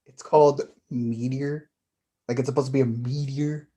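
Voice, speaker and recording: Woman acting young man, stage drama, mild, evasive, casual, hesitant, dark relaxed timbre. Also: rumbling low, moderate pace, a little hiss or hum. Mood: defensive, hopeful. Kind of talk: staged play reading, naturalistic dialogue.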